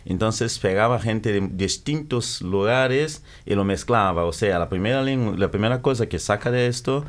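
A middle-aged man from the Democratic Republic of the Congo, giving interview answers and often stopping to correct his words. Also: lowish voice. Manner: halting, self-correcting, searching for words. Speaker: guest, capoeira practitioner.

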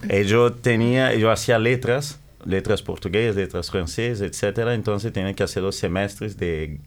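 A middle-aged man from the Democratic Republic of the Congo, giving interview answers and often stopping to correct his words. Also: fairly low pitch. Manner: halting, self-correcting, searching for words. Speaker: guest, capoeira practitioner.

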